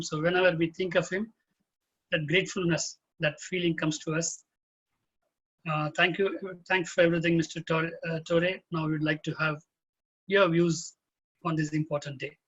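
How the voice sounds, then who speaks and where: A man's voice: a little high, a bit bright, breathy edge, dull audio. A man hosting an event, thanking a guest politely and introducing him with some hesitant fillers. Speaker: event host.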